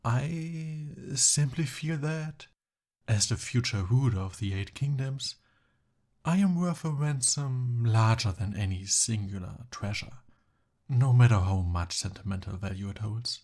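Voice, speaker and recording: A man playing a smooth-talking, eager young captive prince in an ASMR roleplay, with formal, courtly and hesitant phrasing. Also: mid-range voice, lively intonation, unhurried, darkish tone. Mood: courtly persuasion.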